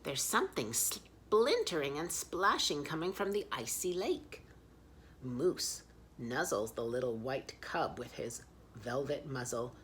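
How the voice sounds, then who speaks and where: A woman's voice: extremely low pitch, big pitch swings, takes it slowly, fairly bright tone, slight background noise. A woman reading picture books aloud expressively as a children's storyteller.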